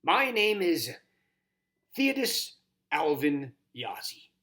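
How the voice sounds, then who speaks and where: Give the storybook a kindly, ceremonious horned toad, acted out by a man. The voice is grumbly and croaking, with courtly old-fashioned phrasing. Its pitch rises and falls in a very animated way. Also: slow, exaggerated voice. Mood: courteous.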